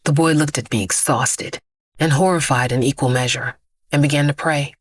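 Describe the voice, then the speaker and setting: An actress playing an immortal vigilante woman, weary, haunted and defiant, telling her story in an intense, measured audio drama monologue with hushed drops. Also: low voice, fairly even intonation, dry recording. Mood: somber, resolute.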